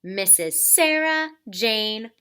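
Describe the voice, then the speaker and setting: A woman reader performs a gushing female principal from a storybook. She is bustling and commanding, with a bright tone and highly animated pitch. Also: extremely slow, smooth, full character voice. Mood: announcing. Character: Missus Burton, gushing, brisk female school principal. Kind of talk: storybook character voice in a read-aloud.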